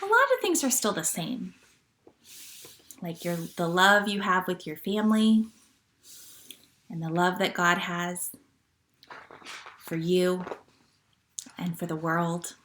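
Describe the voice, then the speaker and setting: Bright-voiced woman leading children's storytime. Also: on the low side, animated, slow pace, long pauses, echoey room, slight background noise. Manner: gentle teacher talk, asking children questions. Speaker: children's church storytime leader. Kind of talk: read-aloud storytime for young children. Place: at home.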